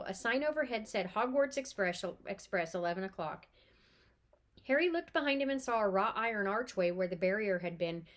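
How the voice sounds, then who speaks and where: A woman reading a children's novel aloud as storyteller, expressively and with animation. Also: medium pitch.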